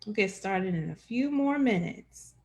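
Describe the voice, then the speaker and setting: A woman's voice: mid-range voice, lively intonation, unhurried, a little mellow. A woman hosting a live-streamed information session as enrollment director, friendly and chatty with many fillers.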